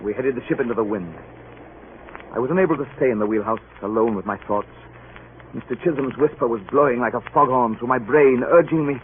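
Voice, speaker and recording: An actor playing a troubled ship's captain in an old radio drama, formal, measured and authoritative. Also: male voice, medium pitch, close to an everyday voice. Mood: brooding. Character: grave, troubled ship's captain narrating his voyage. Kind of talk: 1940s radio acting. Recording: tinny, noisy old radio broadcast.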